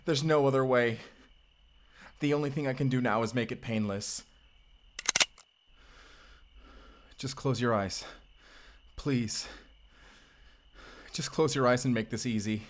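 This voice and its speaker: A man's voice: mid-range voice, measured pace, pauses often, a little hiss or hum, lightly acted. A man playing an earnest, tender and tormented Spanish vampire slayer with a Spanish accent, speaking intimately as in a romantic audio roleplay. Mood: anguished, resigned.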